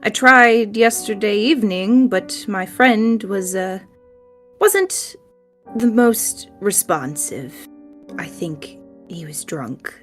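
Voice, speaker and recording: A woman plays a young tiefling man in a fantasy tabletop game, timid and very polite. The speech stammers and restarts with flustered eagerness, at a middling pitch over soft music. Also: slow pace. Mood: nervous, eager.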